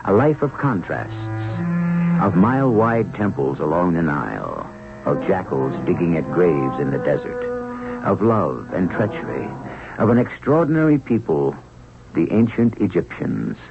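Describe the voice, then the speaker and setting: A man hosting a radio drama with theatrical, deliberate, scripted narration. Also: deep voice, very animated, gravelly. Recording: muffled old radio broadcast, music behind.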